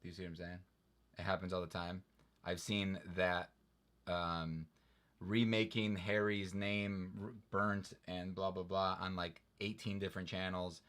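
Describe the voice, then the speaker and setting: A man hosting a casual livestream, his lowish voice getting animated and exasperated as he rambles through rants. He argues his points with a lot of restarts and emphasis. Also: unhurried.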